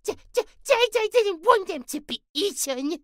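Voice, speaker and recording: A woman voicing a giddy, anxious, childlike imp with a squeaky voice and stammering, babyish speech in a horror audio roleplay. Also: very animated, normal speed, no room sound, studio quality. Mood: sad, fearful. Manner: stammering, babyish wording, theatrical swoops.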